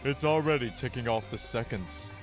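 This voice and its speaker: A man's voice: mid-range voice, normal speed, close to an everyday voice. A man playing a menacing, vengeful game master boy in a taunting, theatrical audio manga style, with music behind. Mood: sinister taunting. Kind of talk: audio manga dramatic acting.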